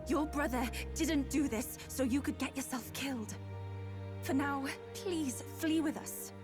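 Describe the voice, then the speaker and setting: A protective young female companion in a game. Her high voice is earnest and formal, with music playing clearly behind. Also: unhurried. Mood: urgent resolve. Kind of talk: video game voice acting.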